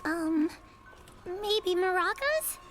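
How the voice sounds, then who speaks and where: A woman's voice: very high voice, lively intonation, very slow, heavily acted. A woman voicing an eager, easily distracted little boy bee in a cartoon audio drama. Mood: sheepish.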